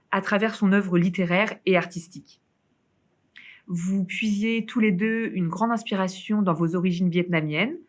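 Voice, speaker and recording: A woman moderator opens the discussion with a halting start before moving into steady, prepared questions. Her voice sits at an ordinary middle pitch for a woman. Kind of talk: moderating a panel.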